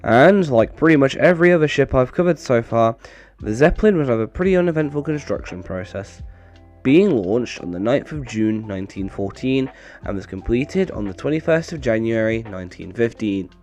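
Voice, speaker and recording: A man presents in a dry, deadpan manner at an ordinary male pitch. His voice sounds a little off, as he is getting over a bad cold. Music plays behind him. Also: normal speed. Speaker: video creator and narrator. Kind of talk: scripted narration with dry humour, casual outro.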